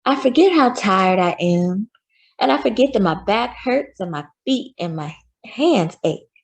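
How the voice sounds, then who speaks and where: A woman voicing a loving, patient mother who gently reassures her young son in a children's storybook read-aloud. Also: mid-range voice, animated, unhurried, vocal fry, husky, heavily acted. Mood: tender, reassuring.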